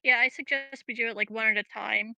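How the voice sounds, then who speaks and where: Very bright, creaky-voiced woman gamer. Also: on the high side, fairly even intonation, fairly quick, no echo, highs cut off. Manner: casual, peppered with "like". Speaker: player in a tabletop game. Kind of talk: casual game chat.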